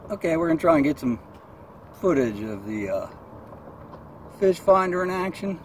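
A man's voice: fairly high pitch, sing-song, moderate pace, slight background noise. A middle-aged man with an American accent gives a casual, plain-spoken, folksy product review while out in a kayak. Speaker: kayak angler reviewing gear. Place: mostly outdoors in a kayak on open water.

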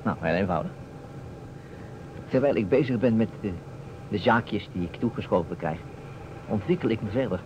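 A man acting a self-assured, slyly teasing detective, measured and deliberate with dramatic pauses. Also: fairly low pitch, lively intonation, lightly acted. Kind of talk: old Dutch radio play acting. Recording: muffled old radio recording, faint noise.

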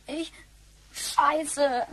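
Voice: high-pitched, steady tone, very slow, bright, forceful tone, a little hiss or hum, heavily acted. A performer playing a brash, impatient, slangy boy from the future in a radio play. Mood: distressed.

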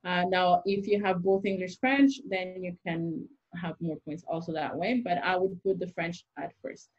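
A woman's voice: on the low side, moderate pace. A young adult woman, an immigration consultant, explaining the rules step by step with worked examples in an interview over a video call from a small room. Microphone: video call webcam. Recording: dull lo-fi video-call audio.

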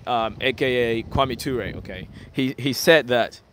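A young man from Ghana talks to his live-stream viewers while walking down a sunny city street, his phone held at arm's length. He chats in a relaxed, repetitive way and keeps saying "okay" and "yeah". Traffic and street noise run clearly underneath his voice. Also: medium pitch, measured pace. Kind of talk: casual live-stream chat, reading viewer comments aloud. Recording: phone recording on a noisy street.

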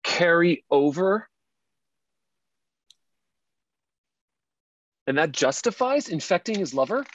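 A man's voice: fairly high pitch, sing-song, moderate pace. A man acting a distraught, wounded and indignant character in his late thirties in a dramatic script reading. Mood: horrified, angry. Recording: echoey room, slightly dull sound.

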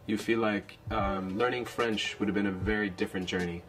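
A man's voice: lowish voice, steady tone, fairly quick, fairly bright tone. A man, perhaps in his thirties, hosting a casual conversational interview. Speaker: interviewer, host. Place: small bright living-room style set, indoors.

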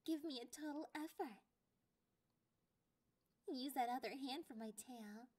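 A woman voicing a clingy, possessive catgirl girlfriend in an ASMR roleplay, with a playful, condescending and drawn-out delivery. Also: high voice, darkish tone. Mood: commanding, smug.

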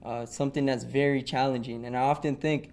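A young man speaking earnestly in an interview, in a measured, halting way. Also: medium pitch, fairly even intonation, a little mellow. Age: young adult. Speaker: university student interviewee. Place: indoors by a window. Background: plucked guitar music.

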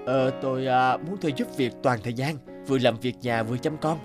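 A dismissive young husband played by a man in an animated moral tale. He grumbles condescendingly in a mid-pitched voice, with music behind him. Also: brisk, lightly acted. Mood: incredulous.

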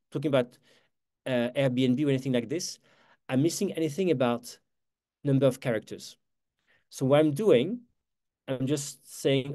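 Host: man, 40s, French accent, talks fast and loose, restarts sentences, laptop mic. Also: mid-range voice, dark tone. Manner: fast, chatty, self-correcting, with quick laughs. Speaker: workshop host. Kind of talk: live online workshop with screen share.

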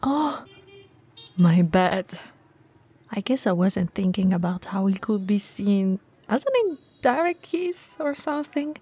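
A woman acts out a towering, friendly shop owner in an ASMR roleplay. She talks slowly and softly, with a mellow, breathy voice and an easygoing, teasing manner. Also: medium pitch, exaggerated voice. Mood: flustered, apologetic. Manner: relaxed, soft, teasing, with breathy whispers.

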